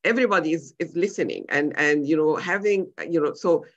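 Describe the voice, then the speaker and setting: A woman's voice: low voice, brisk. Woman, middle-aged, from Egypt. Conversational medical panelist, slightly muffled lo-fi call audio. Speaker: physician panelist. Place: indoors on a video call. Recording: dull lo-fi video-call audio.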